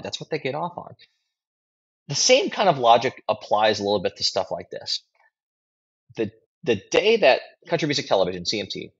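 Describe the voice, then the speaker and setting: A man's voice: on the low side, vocal fry, fairly bright tone, breathy edge. A man giving conversational opinion commentary as a guest, blunt, informal and emphatic. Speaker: guest commentator, political strategist and writer. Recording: slightly dull, lo-fi audio.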